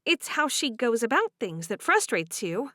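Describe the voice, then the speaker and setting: A woman doing a gentle, soothing young woman. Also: fairly high pitch, sing-song, moderate pace, no room sound, clean studio sound. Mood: reassuring. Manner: gentle, reassuring. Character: kind, comforting young woman.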